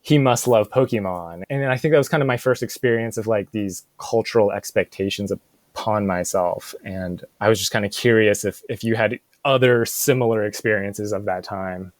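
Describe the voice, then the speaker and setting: An American man in his thirties chats casually and reflectively, often pausing to search for words and saying "like" a lot. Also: fairly low pitch, no room sound. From Seattle suburbs, United States. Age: 30s. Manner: halting, searching for words, frequent "like". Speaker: podcast co-host, bookstore manager.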